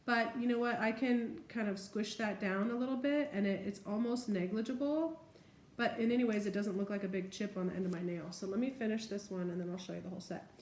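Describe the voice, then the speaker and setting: A woman's voice: medium pitch, a little fast, darkish tone. A woman chatting informally as she narrates a hands-on nail tutorial step by step. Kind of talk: casual hands-on demonstration chat. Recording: echoey room with faint background noise.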